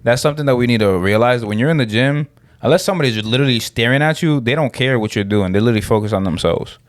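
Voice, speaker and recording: A young man from the Dominican Republic co-hosting a podcast, talking in a relaxed, rambling, slangy way with lots of fillers. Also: medium pitch, racing, dry recording. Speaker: podcast co-host. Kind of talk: casual podcast chat.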